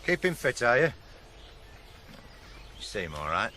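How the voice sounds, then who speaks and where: Gruff Northern father with wildly swinging pitch. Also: very animated, measured pace, bright, forceful tone. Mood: guarded. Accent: Northern English. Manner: gruff, clipped, dismissive. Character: curt, bitter working-class father, guarded and cold. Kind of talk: 1980s radio drama acting. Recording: faint noise on old radio broadcast.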